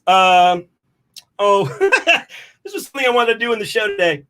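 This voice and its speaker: A man's voice: sits high, very animated, normal speed, sharp tone. A man performing casual rambling comedy in a scatterbrained, theatrical manner. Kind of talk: rambling casual comic livestream.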